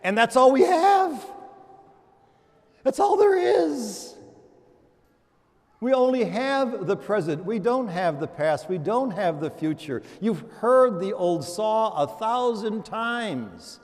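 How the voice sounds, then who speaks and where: A man's voice: high-pitched, very animated, slow pace. An older American man, a priest, delivering a homily with storytelling, emphatic repetition and rhetorical questions in a church. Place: church, preaching to a congregation. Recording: reverberant church hall.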